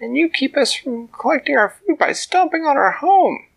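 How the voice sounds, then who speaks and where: A man voicing a tiny, brave female ant who talks high and earnest. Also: big pitch swings, moderate pace, vocal fry, full character voice. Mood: earnest pleading. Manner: shouts up high and earnest, pleading her case.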